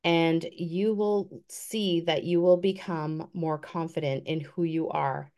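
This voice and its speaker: A woman's voice: low voice, steady tone, slow pace, darkish tone. Earnest woman in her fifties, encouraging. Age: 50s. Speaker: guest speaker. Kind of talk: motivational talk.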